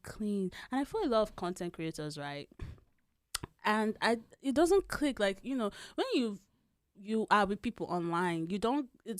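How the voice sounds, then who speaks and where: A woman's voice: medium pitch, dry recording, clean studio sound. A woman in her twenties, co-hosting a podcast in casual chat, sometimes slipping into a girlish baby voice and laughing often. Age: 20s. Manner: chatty, laughs often, trails off and restarts. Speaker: podcast co-host.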